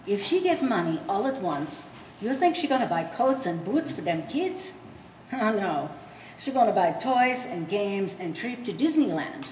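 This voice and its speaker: In a reverberant hall with faint noise, a woman acts out an old immigrant mother in a stage play. She is shrewd and quarrelsome, arguing in blunt broken English with a lively, swooping delivery. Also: medium pitch, unhurried. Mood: pressing, shrewd. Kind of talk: live stage play acting. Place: art gallery stage reading.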